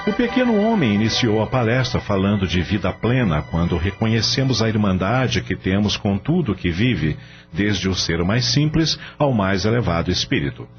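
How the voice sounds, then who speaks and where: A man's voice: lowish voice, sing-song, moderate pace, few pauses. A man narrating a scripted radio novela in a dramatic storytelling style. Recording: slightly dull radio-style audio. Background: music.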